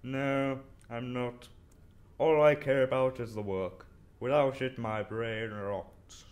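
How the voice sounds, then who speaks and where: Audio drama lead, male actor: arrogant, easily bored genius detective, medium-pitched, condescending and curt. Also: fairly even intonation, slow, a little mellow, close to an everyday voice. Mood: aloof. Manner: dismissive, clipped, condescending.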